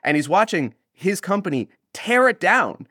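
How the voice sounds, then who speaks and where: A man's voice: high-pitched, big pitch swings, moderate pace, pushed and bright, dry recording. Enthusiastic man chatting, dry close sound. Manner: enthusiastic, acts out lines. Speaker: audio drama creator, guest. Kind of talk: casual interview chat.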